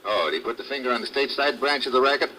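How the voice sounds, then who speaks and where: An actor playing a tough, wry American undercover agent in hard-boiled 1950s radio drama style, on a noisy old recording. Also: male voice, medium pitch, lively intonation, racing, bright, forceful tone. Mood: cool, shrewd.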